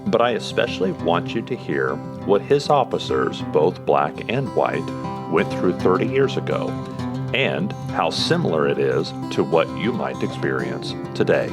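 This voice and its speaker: A man's voice: low-pitched, big pitch swings, unhurried. An American man hosting a podcast as a police chaplain, reading an earnest intro and then interviewing his guest. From United States. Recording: music behind the voice. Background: guitar music.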